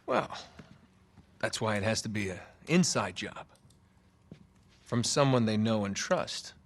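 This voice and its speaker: A man's voice: lowish voice, lively intonation, measured pace. An actor playing a wisecracking, eager treasure hunter in his thirties, with an American accent and a casual, wry delivery in a game cutscene. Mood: scheming, persuasive. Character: wisecracking, eager male treasure-hunting adventurer. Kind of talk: video game cutscene acting. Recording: game music behind the voice.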